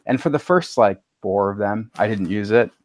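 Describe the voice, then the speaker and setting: A man with a lowish voice, recorded with no room echo at all, speaks on a podcast panel. His delivery is informal and conversational, with a self-deprecating manner. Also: moderate pace, studio quality. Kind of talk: casual panel discussion.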